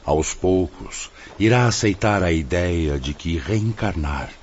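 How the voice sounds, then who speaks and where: An actor playing a wise, solemn spirit teacher in a radio drama, speaking in a didactic, oratorical way. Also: male voice, sits low, animated, slow, a little mellow, slight background noise. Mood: grave, encouraging. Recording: dull, muffled radio drama recording.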